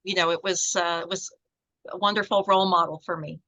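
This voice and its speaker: A woman's voice: lowish voice, fairly even intonation, brisk, sharp tone. A middle-aged American woman, a law professor, reminiscing in conversation with many fillers and restarts. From United States.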